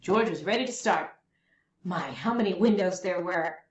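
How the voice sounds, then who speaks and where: A woman reading a children's picture book aloud as a storyteller, with expressive, deliberate phrasing for young listeners. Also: lowish voice, lo-fi sound. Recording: roomy echo, faint background noise.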